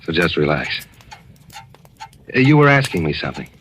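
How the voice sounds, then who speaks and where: A cool, sardonic American turncoat, hard-boiled and unbothered. His voice sits low but bright. The recording carries the faint hiss of vintage radio. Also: sing-song, normal speed. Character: cynical American turncoat correspondent. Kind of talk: 1940s radio acting.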